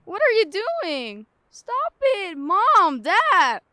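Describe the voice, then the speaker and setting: A bossy little girl, in an audio drama. Her voice is very high, bright and dry, with a theatrical, imperious child's manner. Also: wildly swooping pitch, extremely slow, no room sound, full character voice. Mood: upset, screaming. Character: imperious, playful seven-year-old girl playing fairy queen.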